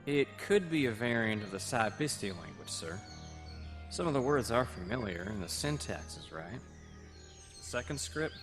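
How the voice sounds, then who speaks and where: An actor playing an earnest, scholarly young military officer in an audio comic, measured like a storyteller. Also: male voice, mid-range voice, big pitch swings. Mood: earnest, explaining. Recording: roomy playback with loud music bed.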